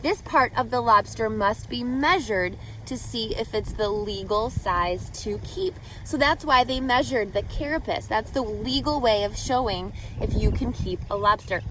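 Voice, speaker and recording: A woman reading a picture book aloud to children as a teacher, expressively, pausing to ask guiding questions and explain. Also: fairly high pitch, a bit bright, a little hiss or hum, low-quality recording.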